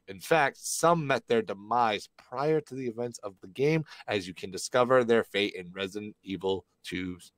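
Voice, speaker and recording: A man co-hosting a gaming livestream, slightly raspy from being sick, chatting and reading news aloud with a rambling manner. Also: lowish voice, no room sound. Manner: rambling, with many fillers.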